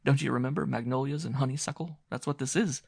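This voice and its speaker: A man's voice: medium pitch, fairly even intonation, fast, gravelly, darkish tone, slightly rough, no echo, studio quality, voice pushed up. A man voicing a faded, self-pitying middle-aged actress who talks in melodramatic wails. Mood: pleading. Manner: wailing, melodramatic.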